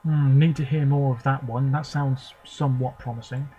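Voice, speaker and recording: A man's voice: mid-range voice, steady tone, moderate pace, dark tone, lo-fi sound, slight background noise. Man giving hesitant song reactions. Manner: hesitant, short reactions between clips. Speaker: video host reviewing contest songs. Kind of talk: casual off-the-cuff commentary.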